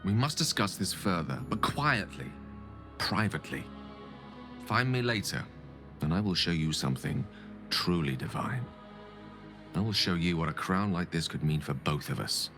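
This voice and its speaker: A man acting as an eloquent, ambitious wizard in a video game, speaking in theatrical, erudite phrases with dramatic pauses. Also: low-pitched, expressive, over music. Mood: fervent, conspiratorial. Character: ambitious, eloquent male wizard hungry for godlike power. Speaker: game character, wizard companion.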